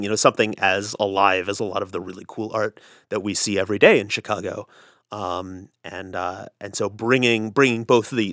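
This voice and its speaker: A man's voice: fairly low pitch, no echo, studio quality. A man answering interview questions in a casual, thoughtful way, feeling for his words as he goes. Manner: halting, thoughtful, feels for words. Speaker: audio drama creator being interviewed.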